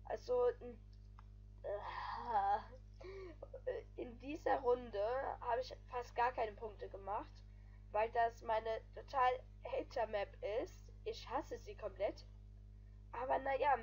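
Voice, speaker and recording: A woman's voice: a little high, darkish tone, husky, dull audio. A woman giving casual, rambling voice-over commentary on her gaming video, stringing thoughts together with frequent filler phrases.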